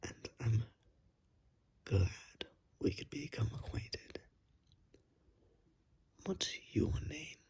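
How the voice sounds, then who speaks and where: Man acting as courteous vampire gentleman, breathy whisper, husky, drawn-out formal phrasing, ASMR roleplay, phone mic. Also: mid-range voice, lively intonation, pitched above the performer's own voice, full character voice. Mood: courteous, eerie. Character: polite, formal gentleman vampire named William. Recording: unplugged iPhone microphone.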